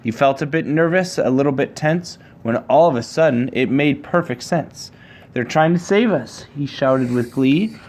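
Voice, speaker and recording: A man reading a children's picture book aloud to his child, with a measured, rhyming storybook delivery. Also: mid-range voice, a little mellow.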